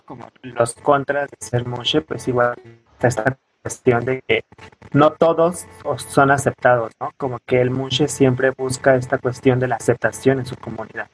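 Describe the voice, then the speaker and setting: A young Mexican man answers interview questions in a conversational way, with mid-range pitch. He explains step by step and often closes phrases with a little questioning tag. Music plays behind his voice. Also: low-quality recording. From coastal Oaxaca, southern Mexico. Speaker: podcast guest, student and weaver.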